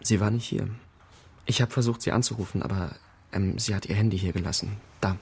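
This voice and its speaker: A jittery young violinist who stumbles over his answers and trails off in mid-sentence. His voice sits a little low for a man. This is a radio crime drama. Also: a little fast, creaky, darkish tone, husky, full character voice. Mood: uneasy. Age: young adult. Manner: hesitant, stammering, nervous. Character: nervous young male violin student. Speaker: music student and violinist.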